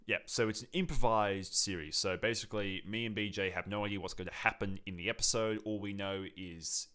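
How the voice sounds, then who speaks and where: A man's voice: fairly low pitch, fairly even intonation, fairly quick, sharp tone, clean tone. A young man co-hosting a podcast in a casual, conversational style. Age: young adult. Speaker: podcast co-host.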